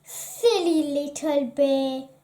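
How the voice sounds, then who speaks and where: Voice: high voice, extremely slow, warm tone, echoey room. A storyteller voicing a kind, playful older male bear who soothes and teases in a children's storybook. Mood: playful, teasing. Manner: soothing, teasing.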